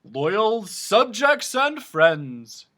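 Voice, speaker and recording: Man playing a storybook king, blustering, gloomy, booming, commanding, high and bright. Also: sing-song, drawn-out words, heavily acted. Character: gloomy, blustering middle-aged male king.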